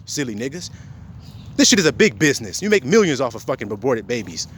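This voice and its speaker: A man's voice: mid-range voice, big pitch swings, quick pace, fairly bright tone, slight background noise. A man delivering a profane, confrontational rant as a self-styled preacher, quoting scripture and firing rhetorical questions at his listeners. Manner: confrontational, fires rhetorical questions, heavy swearing.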